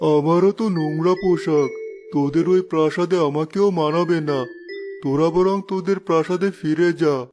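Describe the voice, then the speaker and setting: A man voicing a kindly, poor old village father in a children's cartoon, with a gentle moralizing manner. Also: fairly high pitch, steady tone, moderate pace, music in the background, lightly acted. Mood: sorrowful, reproachful.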